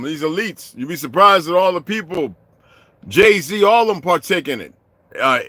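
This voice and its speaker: A middle-aged man delivering a heated, profane vlog rant, swinging from low muttering to shouting. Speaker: vlogger. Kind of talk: profane ranting monologue.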